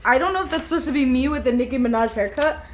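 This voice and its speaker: A woman's voice: high-pitched, quick pace, slight background noise. A young woman co-hosting a podcast in casual chat with a friend, talking informally with lots of "like" and a giggly manner. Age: young adult. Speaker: podcast co-host. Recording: echoey untreated room.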